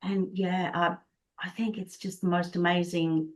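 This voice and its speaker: A woman's voice: lowish voice, flat delivery, measured pace. A middle-aged woman from Prague, a native Czech speaker, explaining their work earnestly and enthusiastically as an interview guest. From Prague, Czech Republic. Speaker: Body Code practitioner, interview guest.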